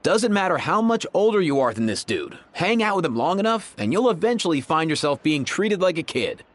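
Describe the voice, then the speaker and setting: A man's voice: high voice, big pitch swings, brisk. An actor playing an exasperated, cheeky young man in a video game, with a sarcastic, slangy way of talking.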